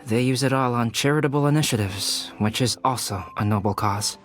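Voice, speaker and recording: An actor playing a polite, scholarly young alchemist in a video game, speaking in a measured, formal, understated way. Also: male voice, medium pitch, fairly bright tone, close to an everyday voice. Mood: calm, matter-of-fact. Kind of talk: video game cutscene acting. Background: music.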